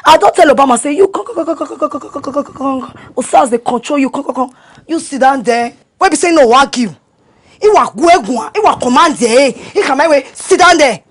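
A woman's voice: high-pitched, a little fast. An actress playing a boastful young woman bound for university, speaking Nigerian Pidgin with a bragging, swaggering manner. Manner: bragging, swaggering, animated storytelling.

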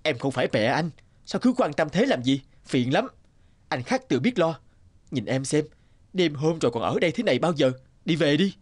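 Husky young cartoon village man. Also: on the high side, lively intonation, normal speed. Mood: annoyed, scornful. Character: young ambitious village man, courteous turning irritable. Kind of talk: cartoon dialogue acting. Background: background music.

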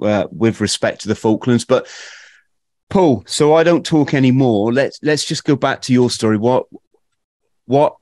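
A middle-aged British man hosting an interview podcast in a halting, conversational way, often repeating words. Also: mid-range voice, darkish tone, dry recording, clean studio sound. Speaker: podcast host. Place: small room at home, video call. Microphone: close podcast microphone.

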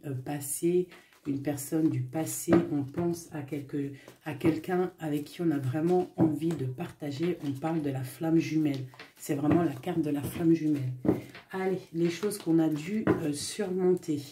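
A woman's voice: low-pitched, steady tone, unhurried, very noisy. A woman giving a casual tarot reading to camera, chatty and full of fillers, with affectionate asides to her viewers. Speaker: tarot reader. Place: indoors at a table with tarot cards.

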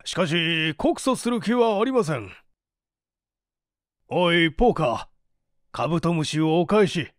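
A man voicing a polite, earnest gentleman in a story reading, deliberate and expressive. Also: fairly high pitch, dry recording, studio quality. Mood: stunned, lenient.

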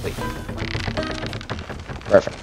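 A man's voice: very slow, over music, poor audio. A man chatting casually as he plays a game with his crew. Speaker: gamer playing co-op with friends. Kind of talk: casual gaming chat.

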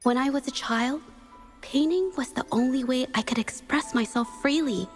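A young woman playing an earnest, gentle painter in a game, soft-spoken and measured in her reflective delivery. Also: high voice, soft background music, close to an everyday voice. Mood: wistful. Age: young adult. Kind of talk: dramatic game dialogue and reflective narration.

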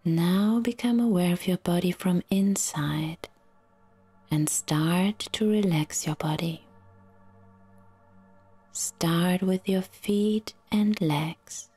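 Very slow, breathy woman guiding relaxation. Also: lowish voice, soft background music. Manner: soft, soothing, breathy, half-whispered delivery with long pauses. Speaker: yoga nidra guide. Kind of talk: guided relaxation meditation.

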